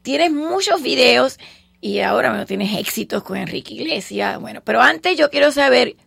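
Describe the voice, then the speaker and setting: A woman hosting a radio show, interviewing with brisk, quick follow-up questions. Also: fairly high pitch, animated, vocal fry, fairly bright tone, slightly rough, no room sound. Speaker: radio host.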